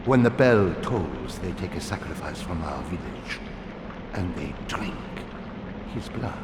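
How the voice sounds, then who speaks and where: An actor playing a solemn, haunted villager in a video game cutscene, speaking gravely and formally. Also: male voice, deep voice, animated. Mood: grave, mournful. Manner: grave, deliberate, formal phrasing. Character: solemn, haunted male villager living in hiding. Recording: echoey hall, long reverb, loud noise.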